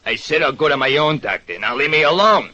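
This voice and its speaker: In a vintage radio play, a man acts out a defensive night worker. His voice is extremely forceful and swoops in pitch. He is curt, surly and rough in his grammar. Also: lively intonation, a little fast, highs cut off, exaggerated voice. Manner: curt, ungrammatical. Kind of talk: 1940s radio acting.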